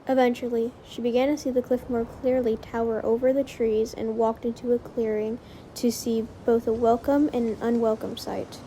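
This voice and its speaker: A woman's voice: on the high side, flat delivery, normal speed, mellow, a little hiss or hum, poor audio. A woman narrating a story from a script, as in an audiobook of fan fiction.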